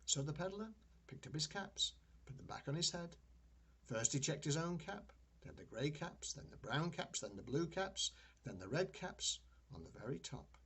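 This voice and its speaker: Older grandpa guy reading a kids book, kinda raspy, really up and down delivery, expressive. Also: fairly high pitch, normal speed. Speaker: grandfather storyteller. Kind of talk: children's storybook read-aloud.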